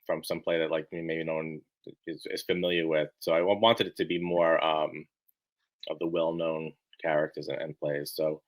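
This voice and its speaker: A man being interviewed, chatting casually in a halting, rambling way and often restarting his sentences. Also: a bass voice, flat delivery. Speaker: book editor being interviewed.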